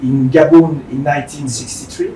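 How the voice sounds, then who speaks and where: Halting French-accented Belgian man, roomy sound. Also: steady tone, mellow. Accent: French-speaking Belgian. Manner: halting, hesitant non-fluent English. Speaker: company managing director. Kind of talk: semi-formal presentation speech. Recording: roomy, distant mic with faint rumbling noise.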